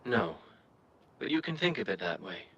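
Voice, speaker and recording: A man's voice: medium pitch, big pitch swings, a bit bright, slightly rough, lo-fi sound, heavily acted. A man playing a composed, enigmatic guardian of a dream realm, speaking formally in a video game. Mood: calm, formal. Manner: measured, formal.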